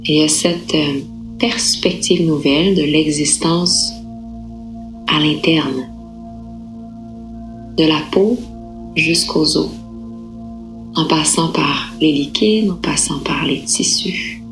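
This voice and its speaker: A woman yoga nidra instructor guiding a relaxation meditation in a calm, unhurried, soothing manner with gentle pauses. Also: sits low, soft background music, rough recording.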